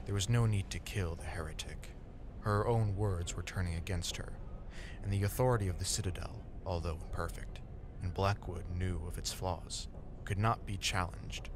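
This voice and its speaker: A man reading scripted, dramatic dark fantasy narration as the storyteller. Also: low-pitched. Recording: ambient noise behind the voice.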